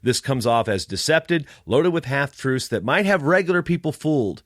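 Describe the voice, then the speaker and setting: Male podcaster, mid-pitched, snarky and emphatic casual delivery, very dry sound. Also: expressive, moderate pace, no room sound. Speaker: wrestling podcaster. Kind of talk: casual storytelling rant with read-out email.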